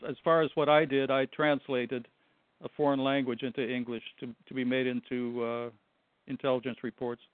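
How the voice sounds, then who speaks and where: Older man, hesitant guest, flat even voice, smooth and crisp, dry tinny phone line. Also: mid-range voice, dry recording. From Buffalo, New York. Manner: halting, soft-spoken, short answers. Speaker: guest, retired Army translator. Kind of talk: call-in interview.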